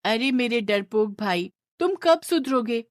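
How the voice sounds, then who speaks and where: Woman as a lively, teasing younger sister. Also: fairly high pitch, measured pace, no room sound, clean studio sound. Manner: playful, mocking. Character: teasing, fearless young sister, college-age girl.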